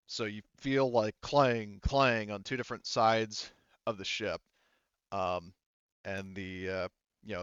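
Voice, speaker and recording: A man with a deep, clean voice acts as game master of a tabletop roleplaying game. He narrates in a halting, conversational way, and the sound is dry and slightly dull. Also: fairly bright tone, clean tone, dry recording. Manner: halting, conversational, relays characters' speech indirectly.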